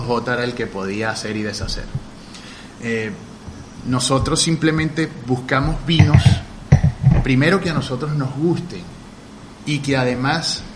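Venezuelan-born man talking vermouth. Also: medium pitch. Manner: passionate, detailed, hesitant fillers. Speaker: guest, vermouth bar owner. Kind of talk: interview. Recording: steady background noise.

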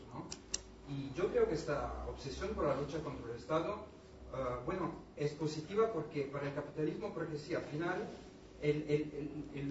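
Young Italian man in a very echoey hall. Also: medium pitch. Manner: searching for words, repeats himself. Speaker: audience member, doctoral student. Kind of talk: question-time comment at a public talk. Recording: very echoey hall recording from far off, noisy, dull.

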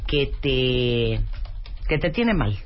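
A woman hosting a radio talk show, lively, blunt and joking. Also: very deep voice, drawn-out words. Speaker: radio host. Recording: dull lo-fi radio audio.

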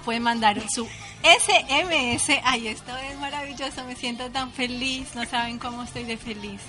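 A woman from Colombia, chatting casually as a radio co-host, bubbly and quick to laugh at herself. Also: sits high, sharp tone. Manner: bubbly, laughs often, self-deprecating. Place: radio studio.

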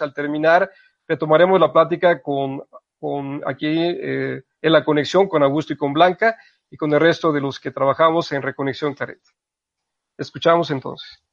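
A man, a priest co-hosting a radio program, speaks thoughtfully with frequent hesitant pauses. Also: on the high side, no room sound. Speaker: priest co-host. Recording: dull dry radio studio audio.

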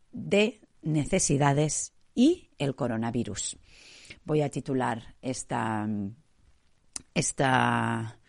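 A woman's voice: rumbling low, creaky, a little mellow. A woman from Spain with a Spanish accent who has a cold and a cough, hosting a podcast in a chatty, meandering way. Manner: chatty and meandering, many fillers. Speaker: podcast host. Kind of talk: casual podcast talk.

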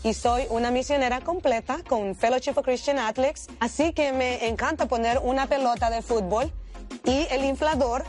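High-voiced woman presenting over music. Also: normal speed, a little mellow. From Honduras. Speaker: charity video presenter. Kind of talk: scripted instructional narration. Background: background music.

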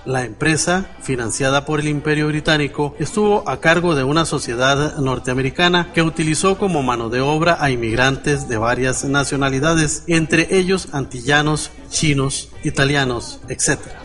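A man's voice: on the high side, moderate pace, hardly any pauses, fairly bright tone. A man presenting and reading scripted narration as a radio host. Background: music.

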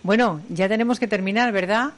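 A woman hosts a relaxed interview at a medium pitch. She talks in a chatty, encouraging way, full of quick affirmations. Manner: warm, encouraging, many affirmations. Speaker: interview host. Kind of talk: conversational interview.

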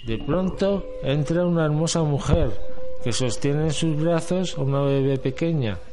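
A man's voice: on the high side, normal speed, background noise. A man reading scripted storytelling narration as the narrator of an amateur radio drama. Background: music.

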